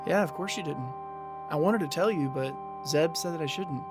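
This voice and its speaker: A man playing a calm young man who shares secrets in an audio drama, in a casual conversational voice. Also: on the high side, normal speed, music in the background, lightly acted. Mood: candid.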